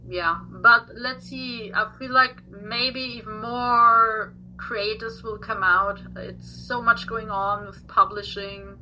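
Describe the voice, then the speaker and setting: A woman's voice: on the high side, fairly even intonation, takes it slowly, few pauses, bright, forceful tone, lo-fi sound, a little hiss or hum. A woman from Austria, a native German speaker who speaks English with an Austrian accent, chatting casually as a podcast guest. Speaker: guest, tarot reader and English teacher.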